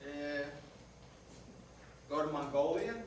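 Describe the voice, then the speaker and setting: A man's voice: a little high, slow pace. Echoey, grumbling older husband played by a man. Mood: distracted, dry. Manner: dry, grumbling, conversational. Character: grumbling, distracted older husband reading the paper. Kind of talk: community reader's theater comedy. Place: reader's theater performance in echoey venue. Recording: very echoey, distant, noisy hall recording.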